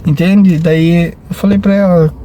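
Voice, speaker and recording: A man's voice: sits high, fairly even intonation, a little slow, dark tone. Guy vlogging, lots of restarts and "né?", noisy traffic in the background. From Brazil. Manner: rambling, hesitant, full of restarts and tag questions. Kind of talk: casual vlog talk.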